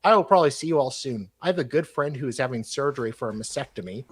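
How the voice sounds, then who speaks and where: A man with an average-pitched voice reads correspondence aloud on a live talk show. His delivery is theatrical while reading, then drops into casual, mocking commentary. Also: animated, fast talker, husky. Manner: mocking asides, breaks off to comment. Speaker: host reading emails aloud.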